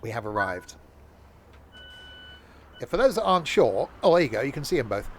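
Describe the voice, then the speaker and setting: A man talks his way through a train game for a live audience, loose and jokey, with a voice sitting at an ordinary middle pitch. He meanders, corrects himself often and keeps up a running banter with his chat. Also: sing-song, normal speed, a little hiss or hum. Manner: rambling, jokey, chats with live viewers; frequent ums and self-corrections. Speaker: streamer. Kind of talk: casual gameplay commentary.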